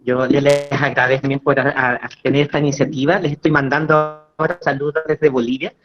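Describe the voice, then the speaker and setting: A man's voice: medium pitch, steady tone, fast talker. A man with a Chilean accent, a guest dental surgeon giving friendly conversational comments on an online webinar. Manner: warm, complimentary, conversational with small restarts. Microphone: video call. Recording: dull lo-fi video call audio.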